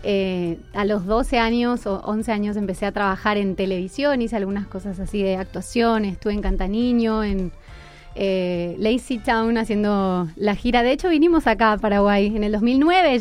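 A woman's voice: mid-range voice, a little mellow, smooth. A young woman with an Argentine accent in her twenties, telling her story earnestly as a guest in a radio interview. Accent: Argentine Spanish. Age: 20s. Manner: earnest, personal storytelling with fillers. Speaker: guest musician. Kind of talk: casual interview.

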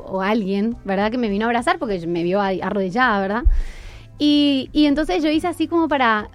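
A young woman with an Argentine accent in her twenties, telling her story earnestly as a guest in a radio interview. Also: on the high side. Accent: Argentine Spanish. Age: 20s. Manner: earnest, personal storytelling with fillers. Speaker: guest musician. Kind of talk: casual interview.

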